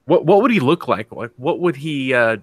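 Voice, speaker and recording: A man's voice: medium pitch, animated, normal speed. A man in a casual brainstorming chat, talking informally and tentatively with lots of questions.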